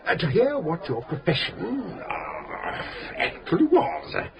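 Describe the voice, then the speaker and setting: An actor playing a pompous, long-winded English school headmaster in a radio drama, speaking in formal, pedantic, hesitant phrases. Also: male voice, fairly high pitch, wildly swooping pitch, takes it slowly, gravelly, background noise, full character voice. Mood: hesitant, curious. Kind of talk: British radio drama acting. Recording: radio play with busy pub chatter.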